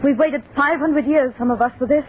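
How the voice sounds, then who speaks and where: A woman's voice: high-pitched, brisk, crowd noise, lightly acted. An actress playing a mysterious, intense young woman with an urgent, commanding manner in an old-time radio drama. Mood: intense, eager. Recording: tinny, band-limited old radio, faint noise.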